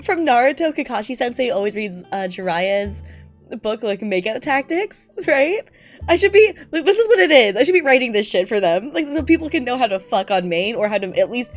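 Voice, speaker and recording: A woman streamer in a giggly, slangy, incredulous style, reading aloud and reacting casually. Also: mid-range voice.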